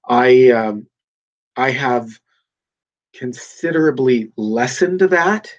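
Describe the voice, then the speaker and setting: A man hosting his own podcast, talking off the cuff in an emphatic, rambling way with plenty of fillers and restarts, a little nasal and congested from a cold. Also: mid-range voice. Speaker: podcast host. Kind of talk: casual solo podcast monologue.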